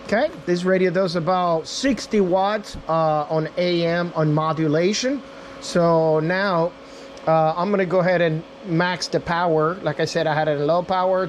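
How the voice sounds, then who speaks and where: A man's voice: fairly high pitch, steady tone, normal speed, slight background noise. A man giving a casual, unscripted product demo in an informal way, talking straight to viewers as their reviewer. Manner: informal, addresses viewers as guys. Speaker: radio reviewer and demonstrator.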